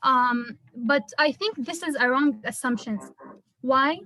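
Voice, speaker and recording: High-voiced young woman. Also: takes it slowly, bright, forceful tone, poor audio. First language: Arabic. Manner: careful, explanatory, slightly hesitant. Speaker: student. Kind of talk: class presentation.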